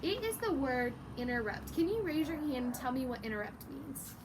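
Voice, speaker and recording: High-voiced woman teaching through a read-aloud. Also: moderate pace, noisy background. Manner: animated, exaggerated, prompting listeners with questions and praise. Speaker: teacher reading a picture book aloud to young pupils. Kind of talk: interactive read-aloud lesson for children.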